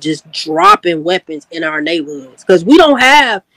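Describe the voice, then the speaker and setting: A woman's voice: on the low side, very animated, normal speed, creaky, fairly bright tone, no room sound. A woman hosting a casual livestream chat, laughing easily and talking in loose slang. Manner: laid-back, laughing, slangy storytelling. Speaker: host.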